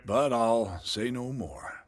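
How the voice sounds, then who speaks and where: An actor playing a wary male barkeeper in a video game, cagey and matter-of-fact, with a rough, husky voice. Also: mid-range voice, slow, natural voice. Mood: guarded. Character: wary, guarded male barkeeper passing on local rumors. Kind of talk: video game cutscene dialogue.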